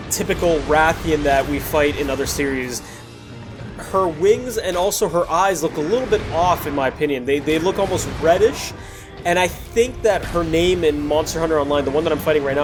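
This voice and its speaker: A man hosting a gaming video, giving casual, digressive commentary on gameplay in an apologetic manner. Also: on the high side. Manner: apologetic, digressive, often backtracking to earlier points. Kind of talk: casual gameplay commentary over recorded footage.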